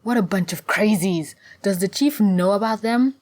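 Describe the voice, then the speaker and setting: Woman acting brash young huntress, raised pitch. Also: moderate pace, a little mellow, close to an everyday voice. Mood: cheeky, puzzled. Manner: blunt, cheeky, casual.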